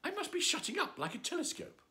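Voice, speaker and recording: A man voicing a curious, fretful little girl in a storybook reading, raising his voice into a high, fluttery girlish tone. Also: wildly swooping pitch, fast, sharp tone, exaggerated voice. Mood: worried.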